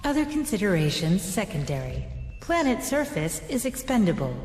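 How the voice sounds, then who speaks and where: A cold computer voice, formal announcements, roomy echo, music behind. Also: female voice, mid-range voice, big pitch swings, normal speed, darkish tone. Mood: clinical. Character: cold female computer system. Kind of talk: video game cutscene. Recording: echoey, reverberant, music underneath.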